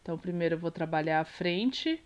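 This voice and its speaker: A Brazilian woman with a congested, nasal voice from a cold, calmly explaining a sewing tutorial step by step while she works, correcting herself now and then. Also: fairly low pitch, brisk, clean tone. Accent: Brazilian Portuguese. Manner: calm, explains while working, small self-corrections. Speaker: sewing teacher.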